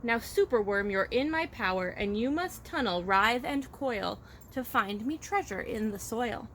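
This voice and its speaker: A woman's voice: fairly high pitch, expressive, measured pace, a bit bright, slight background noise. Woman reading as a bossy, menacing wizard lizard. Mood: commanding. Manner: commanding, threatening. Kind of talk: children's storybook read-aloud.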